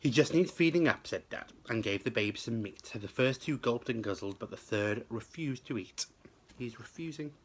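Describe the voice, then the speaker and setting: A man reading a children's storybook aloud like a teacher to pupils, with expressive, emphatic, rhythmic delivery. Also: fairly low pitch, normal speed, breathy edge.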